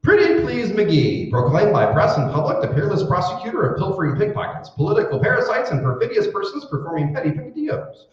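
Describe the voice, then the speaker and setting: A man's voice: high-pitched, huge pitch swings, fast talker, few pauses. A man playing a boastful, blustering, folksy husband in a 1940s-style radio comedy. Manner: blustery, chatty, theatrical. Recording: very echoey stage, distant dull mic.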